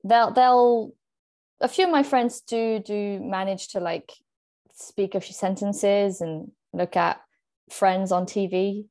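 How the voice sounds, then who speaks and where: A woman's voice: medium pitch, steady tone, slow pace, a little mellow. A young woman from France, an actress, chatting casually in an interview with frequent fillers and restarts. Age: young adult. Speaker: guest, actress.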